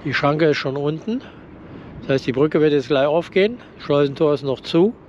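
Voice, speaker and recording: Man narrating from a boat, slightly high voice. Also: expressive, moderate pace, a little mellow, lo-fi sound, slight background noise. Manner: matter-of-fact, commenting on the route. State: has a cold. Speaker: boat vlogger. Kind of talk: casual narration while boating. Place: outdoors on a motorboat. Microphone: headset.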